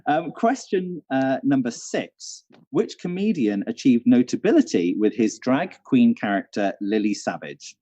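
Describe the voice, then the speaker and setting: Bloke doing a chatty online quiz, swoopy intonation, bit muffled and lo-fi. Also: male voice, mid-range voice, big pitch swings, measured pace, hardly any pauses, mellow, dry recording. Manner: chatty, repeats questions clearly for listeners. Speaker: quiz host, theatre venue manager. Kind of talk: casual live quiz hosting with banter.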